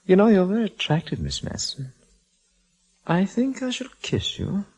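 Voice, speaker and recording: A man playing a sly, smug villain with mocking courtesy and a husky voice in 1940s radio detective drama. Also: sits high, huge pitch swings, a little slow, a little mellow, full character voice, poor audio. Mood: smug, flirtatious.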